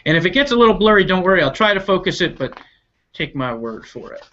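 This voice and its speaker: A man's voice: high-pitched, sing-song, fast, bright, forceful tone. A man hosting a children's read-aloud over a video call, upbeat and chatty, explaining things directly to his viewers. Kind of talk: expressive storybook read-aloud with chatty asides. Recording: dull lo-fi online video call.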